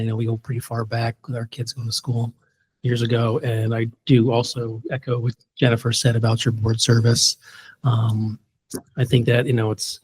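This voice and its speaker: A man's voice: mid-range voice, a little mellow, a little hiss or hum, poor audio. A man chairing a school board meeting, calling on members by first name in an informal way. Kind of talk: casual meeting chat.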